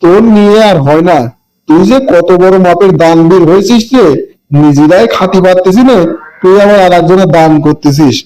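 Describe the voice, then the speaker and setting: Old cartoon father, played by a man: rural Bangladeshi accent, careworn, grumbling yet loving, slightly raised pitch. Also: moderate pace, warm tone, lightly acted, low-quality recording. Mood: exasperated. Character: poor old village father, weary, scolding but loving. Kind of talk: amateur cartoon voice acting.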